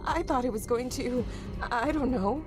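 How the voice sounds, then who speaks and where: An actress playing a frightened young woman who narrates a horror audio drama in a confessional, halting way, with music behind her. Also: high-pitched, natural voice. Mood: tense, haunted. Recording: music bed under the voice.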